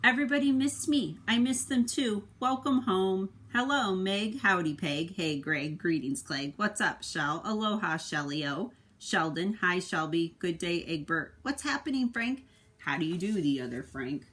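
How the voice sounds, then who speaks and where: A woman voicing an earnest, worried male egg who tells his own storybook tale, with expressive, dramatic delivery. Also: fairly low pitch, measured pace. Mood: cheerful greeting.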